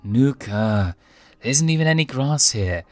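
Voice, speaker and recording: A man's voice: medium pitch, expressive, a little slow, no echo, faint music behind, voice pushed up, lightly acted. A man voicing a frank, reluctant young lion cub, a younger brother with a plaintive, questioning manner, in a story reading. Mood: complaining.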